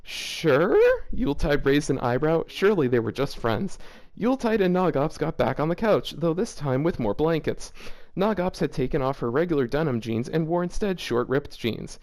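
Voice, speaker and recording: A man's voice: on the high side, normal speed. A young man reading a fanfiction story aloud, breaking off to laugh and react. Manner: laughs often, animated reactions. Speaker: fanfiction reader. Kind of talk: comedic reading aloud with commentary.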